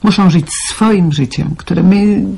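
Husky, consoling older woman. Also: on the low side, slow pace, warm tone, heavily acted. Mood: resigned, consoling. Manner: reassuring, philosophical. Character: philosophical older woman neighbour, consoling and resigned. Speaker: radio actress. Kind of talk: 1990s radio drama acting.

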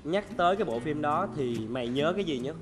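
A man's voice: fairly high pitch, animated, fast, over music. A man in his twenties with a southern Vietnamese accent, hosting a casual chat with playful, teasing banter. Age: 20s. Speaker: talk show host. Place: indoor talk show set styled as an old shop.

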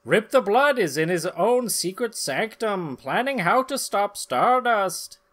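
An earnest pulp adventure narrator, put on by a man, delivering a comic-book story dramatically. The voice is raised and forcefully bright. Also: high-pitched, big pitch swings, slow pace, voice pushed up, full character voice. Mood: grave, dramatic.

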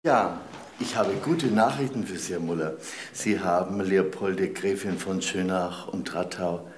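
A slick, mercenary title dealer in a radio play, with a deep voice. He talks formally and insinuatingly over faint noise. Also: measured pace. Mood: smooth, pressing. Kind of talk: German crime radio drama acting.

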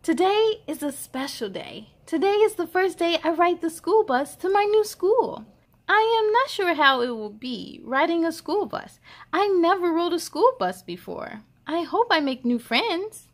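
A woman voicing an excited, wide-eyed first-grade boy telling a storybook tale with an expressive delivery. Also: very high voice, unhurried, heavily acted. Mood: wondering. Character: excited young first-grade boy narrating his bus ride.

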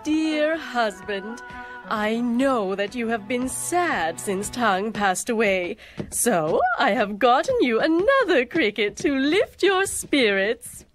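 Magistrate's wife, animated series: haughty, fretful, dramatic sighs, high swooping voice over music. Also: female voice, slow. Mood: coaxing. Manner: theatrical, sighing, dramatic. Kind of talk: animated cartoon acting. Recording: music under the dialogue.

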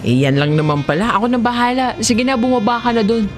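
A woman's voice: fairly high pitch, quick pace, a little hiss or hum, natural voice. A woman with a Filipino accent voicing a casual, obliging young boyfriend in a comedic audio story.

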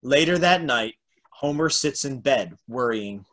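A man's voice: medium pitch, expressive, unhurried, a bit bright. A middle-aged man narrating stage directions for an amateur table read on a video call at home. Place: room at home.

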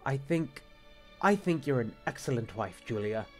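A man's voice: medium pitch, lively intonation, normal speed, faint music behind, natural voice. A man playing a stiff, anxious bishop in an audio drama, formal and hesitant in his speech. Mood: awkwardly earnest. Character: stiff, exasperated, anxious male bishop and husband. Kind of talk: film-adaptation audio drama acting.

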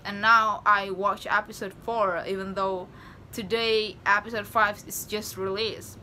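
A woman's voice: medium pitch, sharp tone, slight background noise. A young woman hosting a reaction video from her bedroom, chatting in casual non-native English. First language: not English. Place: small bedroom with posters.

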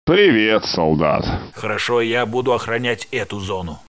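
An actor voicing a tired male security guard in a research facility in a video game dub, with a gravelly, matter-of-fact delivery. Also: on the low side, animated, moderate pace, a bit bright. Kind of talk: game voice lines, Russian dub.

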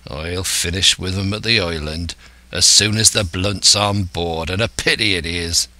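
A man's voice: low-pitched, a little slow, sharp tone, dry recording, exaggerated voice. A man voicing a scheming, domineering older pirate ringleader who speaks emphatically and scornfully in a salty seafaring dialect.

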